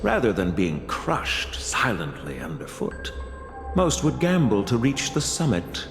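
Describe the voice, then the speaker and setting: Slow, swooping, courteous corporate heir. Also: male voice, on the low side, huge pitch swings, heavily acted. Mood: suave, poised. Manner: polite, poised, theatrical. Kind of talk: dramatic video game voice acting. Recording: long reverb, music behind. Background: music.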